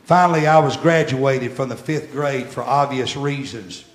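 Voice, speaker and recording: A pastor in his sixties preaching a sermon, repeating phrases as he swells loud, then dropping very soft. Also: medium pitch, moderate pace. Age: 60s. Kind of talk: sermon with storytelling. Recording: echoey church room.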